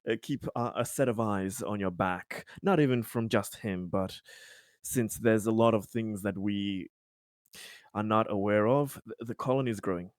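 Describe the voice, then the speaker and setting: Halting man at a roleplaying table. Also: on the low side, dry recording, studio quality. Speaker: tabletop role-playing player. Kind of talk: in-character table talk, improvised.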